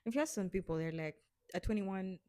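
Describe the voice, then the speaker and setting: A woman's voice: medium pitch, fairly quick, dark tone, no room sound, studio quality. A woman in her twenties co-hosting a casual podcast, giving earnest advice in a chatty way. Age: 20s. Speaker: podcast co-host. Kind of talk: casual chat.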